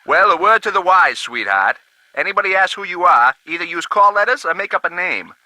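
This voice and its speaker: Brash, high, sharp-voiced radio man. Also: sing-song, brisk, no echo, heavily acted, rough recording. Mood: brash, condescending. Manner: cocky, clipped slang. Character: brash, condescending slangy male radio operator. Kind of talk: game voice acting.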